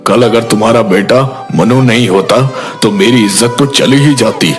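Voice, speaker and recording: Guy playing village headman, honour-minded dad, earnest, mid pitch, cartoon story w music. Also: normal speed, close to an everyday voice. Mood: grateful, admiring. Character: grateful, anxious village headman, father of the bride. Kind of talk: animated moral story acting.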